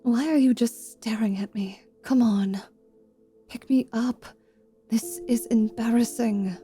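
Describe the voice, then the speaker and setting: A haughty, sharp-tongued half-vampire woman, played by a woman for a fantasy audio roleplay. Her voice is dark and smooth-edged with little creak, and she delivers sardonic threats at a very measured pace over faint music. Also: on the high side, steady tone. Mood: tired, sheepish. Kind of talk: fantasy ASMR roleplay acting.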